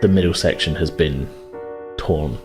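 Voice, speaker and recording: A man's voice: extremely low pitch, measured pace, music in the background. A man narrating a tabletop horror game as game master, phrasing things hesitantly as he improvises.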